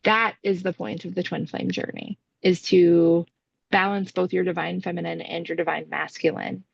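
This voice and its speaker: A woman co-hosting a podcast, explaining things casually with emphatic, deliberate lists. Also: on the low side, steady tone, creaky, slightly rough, dry recording, dull audio. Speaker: podcast co-host.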